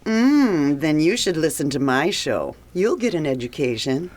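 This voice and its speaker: A laid-back jazz DJ for radio theater. Her delivery is smooth and sauntering, rather low but sweeping widely in pitch. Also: big pitch swings, unhurried, a little mellow, exaggerated voice. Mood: cool, coaxing. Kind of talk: audio theater acting.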